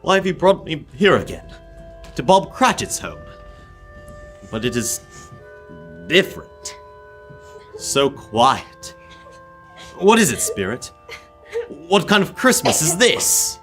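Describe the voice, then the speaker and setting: A frightened old miser, acted by a young man, speaks slowly and haltingly in a sharp, fairly high voice. He pleads theatrically in old-time radio style over faint music. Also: very animated, full character voice, rough recording. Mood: dread, pleading.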